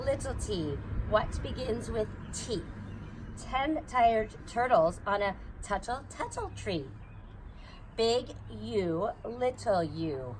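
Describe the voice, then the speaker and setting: A woman hosting a children's storytime, reading a picture book aloud outdoors in a sing-song, repetitive way. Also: medium pitch. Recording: noisy outdoor ambience.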